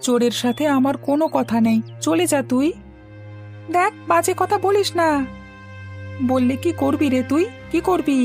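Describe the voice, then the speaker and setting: A very high-pitched, childish village boy acted by a woman in a cartoon. He is indignant and taunting, quick to whine and boast. Music plays behind the voice. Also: a little mellow. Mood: angry. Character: quarrelsome young village boy, whiny and boastful. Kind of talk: cartoon dialogue.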